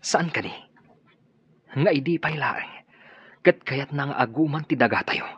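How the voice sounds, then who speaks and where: A man's voice: mid-range voice, unhurried, a bit bright, husky, no echo. A man with an Ilocano accent playing an intense, suspicious, grieving young son out for vengeance in a radio drama. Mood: suspicious, bitter. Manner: intense, emotional. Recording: dull, muffled radio drama audio.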